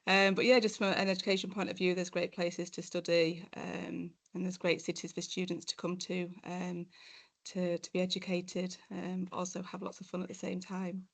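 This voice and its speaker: A woman's voice: fairly low pitch, completely flat delivery, moderate pace, vocal fry. A woman who works in education and training, answering interview questions in an upbeat, chatty way with many filler sounds.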